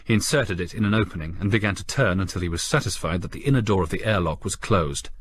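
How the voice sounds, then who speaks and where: A man reading a suspenseful story as an audiobook narrator, in a measured, grave, dramatic storytelling style. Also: low-pitched.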